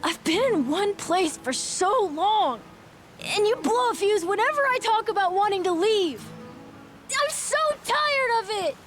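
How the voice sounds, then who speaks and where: A woman's voice: very high voice, expressive, unhurried, fairly bright tone, soft background music, full character voice. A woman voicing an earnest, stubborn ten-year-old boy who pleads in frustration, in a video game. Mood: pleading, upset.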